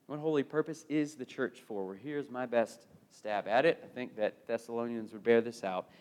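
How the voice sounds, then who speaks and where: A middle-aged American man preaching a sermon, emphatic and given to repeated phrases, moving between loud proclamation and hushed delivery. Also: mid-range voice, normal speed.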